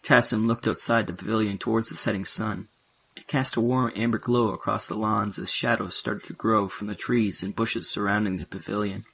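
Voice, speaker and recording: Male narrator, low-pitched, husky, scripted audio drama storytelling. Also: steady tone, moderate pace, fairly bright tone. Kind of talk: scripted narration of a science fiction audio play.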